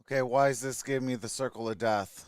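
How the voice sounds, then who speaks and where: A man's voice: mid-range voice, steady tone, fast talker, clean tone, no room sound, studio quality. An American man hosting a sports livestream, chatting casually and informally to his viewers.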